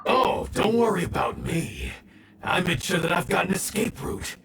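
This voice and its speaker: A man playing a gloating, sly bandit boss in a video game, taunting with theatrical, drawn-out threats. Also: high-pitched, sing-song, vocal fry, very noisy, exaggerated voice. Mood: gloating, menacing. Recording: echoey, distant, loud music bed. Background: game music.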